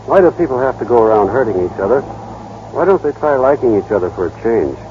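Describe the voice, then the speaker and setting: A man's voice: medium pitch, very animated, fast talker, a little hiss or hum, lightly acted. An actor playing a shy, naive small-town American man in a vintage radio drama, hesitant, boyish and plainspoken. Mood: shy, earnest. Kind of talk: 1930s-40s radio drama acting. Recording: dull, muffled old radio broadcast.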